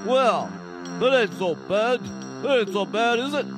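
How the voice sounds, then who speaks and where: A woman voicing a greedy male gang boss who talks loud and gruff with tough-guy slang. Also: on the high side, expressive, unhurried, over music. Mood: blustering.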